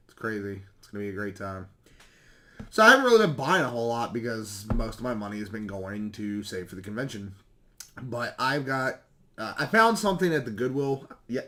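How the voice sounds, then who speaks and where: A man with a slightly hoarse voice chats casually and ramblingly as a video host showing off recent pickups. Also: medium pitch, a bit bright. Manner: rambling, self-correcting, mild swearing. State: slightly hoarse, losing his voice. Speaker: YouTube video game collecting host.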